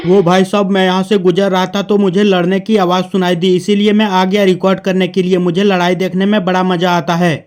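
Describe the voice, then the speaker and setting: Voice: medium pitch, flat delivery, brisk, hardly any pauses, darkish tone, natural voice. A performer voicing a nosy, cocky, feisty old man in a cartoon comedy. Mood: gleefully nosy. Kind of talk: exaggerated Hindi cartoon comedy dialogue.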